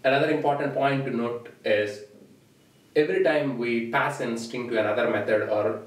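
A man's voice: medium pitch, normal speed. Man teaching in an echoey room. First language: Telugu. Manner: steady, explanatory, points at the board. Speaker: programming instructor. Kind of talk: whiteboard tutorial lecture. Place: small indoor room at a whiteboard. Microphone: camera mic at a distance. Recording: roomy echo, voice from a distance.

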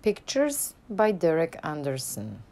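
A woman reading a children's picture book aloud as a narrator, in an expressive storytelling style. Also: low-pitched, slow.

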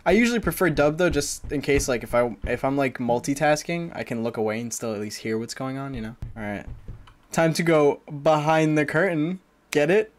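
A man's voice: medium pitch, lively intonation, brisk. A man in his twenties giving casual, wry, jokey commentary on a horror game as he chats to viewers. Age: 20s. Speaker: gaming streamer. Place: small indoor streaming room. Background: game music.

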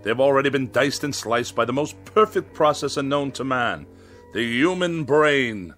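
An actor playing a pompous, condescending food writer in a radio drama, fussy and lonely, with haughty, ornate phrasing. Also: male voice, mid-range voice, animated, normal speed, fairly bright tone, soft background music, natural voice. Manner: haughty, ornate, precisely worded speech.

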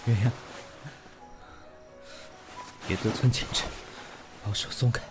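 A man's voice: medium pitch, level tone, fast talker, music in the background, close to an everyday voice. An actor playing a proud, defiant businessman with a curt, sarcastic way of talking in an audio drama. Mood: strained, defiant. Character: proud, sharp-tongued businessman, defiant reluctant male lover. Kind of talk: Chinese audio drama acting.

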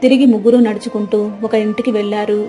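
A woman's voice: mid-range voice, steady tone, quick pace, mellow, soft background music. A woman narrating a scripted moral story as its storyteller.

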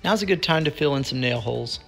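Man narrating woodworking steps, calm. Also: medium pitch, vocal fry, over music. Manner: plain, measured instructional tone. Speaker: DIY woodworking instructor. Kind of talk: scripted step-by-step voice-over narration.

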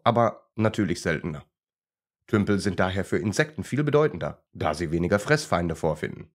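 A man voicing a friendly male German shepherd who plays teacher in a children's story, polite and instructive. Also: lowish voice.

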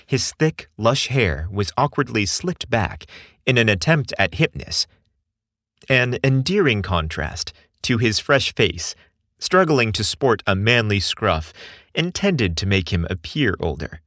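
A man narrating a romantic story in an expressive, earnest way, recorded close on a studio mic. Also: on the low side, a little slow, dry recording. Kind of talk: scripted narration.

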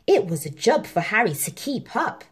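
A woman reading a children's story aloud as an expressive, measured narrator. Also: medium pitch, breathy edge. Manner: animated, measured. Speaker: storybook reader.

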